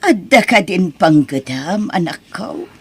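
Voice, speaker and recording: A woman playing a worried, caring elderly rural mother in an Ilocano drama, with a husky voice and anxious, pleading manner. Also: fairly low pitch, very animated, unhurried, a little mellow, no room sound, heavily acted. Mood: concerned. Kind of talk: Ilocano radio-style drama acting.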